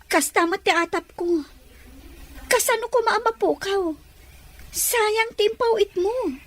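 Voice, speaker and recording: A woman's voice: very high-pitched, slow. A woman playing a sweet, respectful young daughter in an Ilocano-accented audio drama. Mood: concerned.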